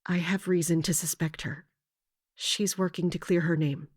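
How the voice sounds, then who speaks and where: A woman playing a loyal mercenary captain in a fantasy audio drama, speaking formally and with measured, respectful defiance. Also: fairly low pitch, steady tone, close to an everyday voice. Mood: controlled, defensive. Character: loyal, resolute female mercenary captain serving royalty.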